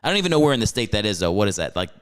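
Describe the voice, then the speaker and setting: Smooth, low-voiced podcast host. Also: male voice, very animated, rapid-fire. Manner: easygoing, rambling, casual swearing. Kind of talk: casual podcast chat.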